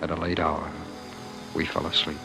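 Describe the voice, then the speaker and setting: An actor playing a meek, dreamy husband who imagines himself a great leader, in earnest, dramatic old-time radio style. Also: male voice, very deep voice, completely flat delivery, moderate pace, gravelly, heavily acted. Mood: brooding, wistful. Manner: earnest, dramatic, brooding, hesitant. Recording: vintage radio broadcast with music behind.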